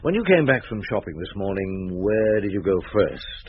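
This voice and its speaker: An actor playing a calm, shrewd chief inspector in a radio drama, measured and musing as he questions people. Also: lowish voice. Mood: probing, patient. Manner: measured, musing, with hums and pauses. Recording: muffled radio drama with background noise.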